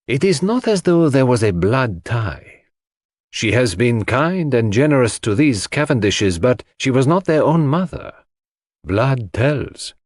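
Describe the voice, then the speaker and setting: Guy doing a fussy detective for an audiobook, precise, very swoopy pitch, dry sound. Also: big pitch swings, measured pace, no room sound. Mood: deliberate, shrewd. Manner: precise, theatrical, formal phrasing. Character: fussy, shrewd, elegant older foreign male detective. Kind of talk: audiobook character acting.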